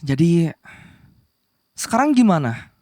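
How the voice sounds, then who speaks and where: A man playing a young demon prince in a romantic ASMR roleplay, soft-hearted but bossy, with flustered, possessive tsundere manners. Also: on the high side, big pitch swings, slow, no echo, studio quality. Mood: hesitant. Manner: stammering, flustered denials, possessive commands.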